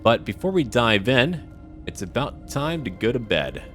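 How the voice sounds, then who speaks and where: A man's voice: mid-range voice, big pitch swings, measured pace, music in the background. A man doing casual video game commentary, thinking aloud and talking to his viewers.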